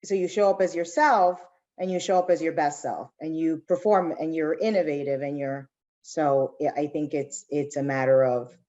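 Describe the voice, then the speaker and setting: A woman's voice: deep voice, fairly even intonation, moderate pace, mellow, highs cut off. A woman speaking thoughtfully and earnestly as a panelist in a discussion, often checking in with a questioning tag. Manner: earnest, reflective, asks "right?" as she goes.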